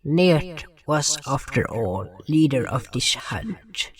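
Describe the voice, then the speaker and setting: A man voicing a gentle, sorrowful slimy swamp creature, narrating and speaking in a fantasy audio drama in a solemn, deliberate, creaky voice. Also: a little high, sing-song, heavily acted. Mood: solemn storytelling. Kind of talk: scripted first-person fantasy narration and dialogue. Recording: distant-sounding voice, music underneath. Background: music.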